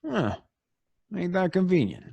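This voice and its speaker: Grumbling male crook, raspy, swooping pitch, edgy, slangy, radio thriller. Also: big pitch swings, unhurried, dry recording, dull audio, exaggerated voice. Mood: edgy, annoyed. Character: jittery, grumbling male small-time crook. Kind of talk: old-time radio drama acting.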